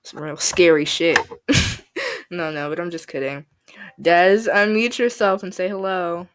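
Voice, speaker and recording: A woman's voice: fairly low pitch, steady tone, unhurried. A woman hosting a podcast interview in a casual, chatty way, laughing often. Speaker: podcast host.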